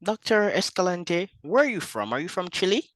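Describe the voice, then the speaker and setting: A man's voice: high voice, sing-song, moderate pace, fairly bright tone, dry recording. A man hosting an interview, asking direct questions and reading out passages. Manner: reads quotes, asks direct questions. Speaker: interviewer host.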